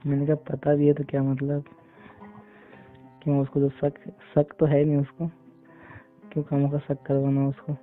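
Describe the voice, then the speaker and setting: A man chatting casually and intimately on a phone call, halting as he searches for words. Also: on the high side, monotone. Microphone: phone call recording. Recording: tinny phone line, music behind.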